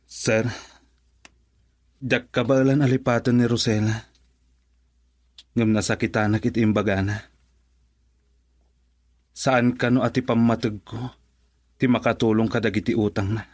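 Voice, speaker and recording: A man's voice: mid-range voice, fairly even intonation, measured pace, long pauses, darkish tone, no room sound, studio quality, natural voice. A Filipino man playing an earnest, lovelorn suitor in a radio drama, speaking with pleading devotion. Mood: hurt.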